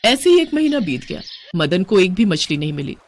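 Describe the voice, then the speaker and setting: A woman's voice: fairly low pitch, big pitch swings, moderate pace. A woman narrating a scripted moral story as a storyteller.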